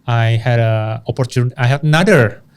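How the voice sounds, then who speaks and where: A man's voice: medium pitch, normal speed, smooth. A middle-aged man from Indonesia with an Indonesian accent, answering interview questions as he recounts his career in short, repeated phrases. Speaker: podcast guest, HR executive. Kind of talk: conversational interview answers, personal career storytelling.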